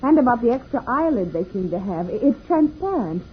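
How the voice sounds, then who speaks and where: A woman's voice: a little high, sing-song, brisk. A woman playing a thoughtful, mildly protective space traveler in a vintage radio serial. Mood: curious, gentle. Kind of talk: 1950s radio serial acting. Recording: scratchy, tinny old radio recording.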